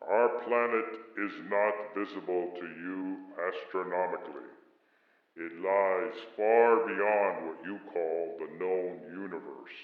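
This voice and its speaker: A man playing a towering alien envoy in a radio play, speaking in formal, solemn, measured phrases. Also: sits low, narrow, tinny audio. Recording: echoey, band-limited processed sound.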